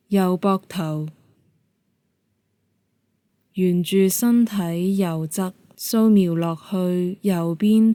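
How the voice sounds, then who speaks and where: A woman with a Hong Kong Cantonese accent leading a guided meditation in a calm, gentle, measured manner. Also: fairly low pitch, no echo. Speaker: yoga nidra meditation guide.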